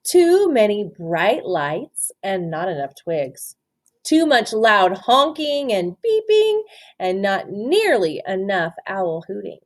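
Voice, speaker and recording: A woman doing an interactive picture-book read-aloud for children, expressive and often asking questions. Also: mid-range voice. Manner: expressive, asks questions and pauses for answers. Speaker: storytime reader, teacher.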